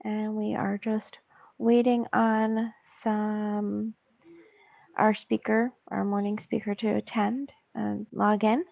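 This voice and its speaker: Female meeting host with a gravelly edge, hesitant delivery, muffled dry call sound. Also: mid-range voice, flat delivery, no room sound. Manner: halting, frequent ums. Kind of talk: webinar introduction. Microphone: video call. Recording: dull dry call audio.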